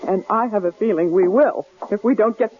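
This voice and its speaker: An actress playing a frightened but sharp-minded, suspicious wife who speaks in a tense, probing way in a 1970s radio drama. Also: female voice, mid-range voice, brisk, close to an everyday voice. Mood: frightened, urgent. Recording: dull, hissy old radio broadcast.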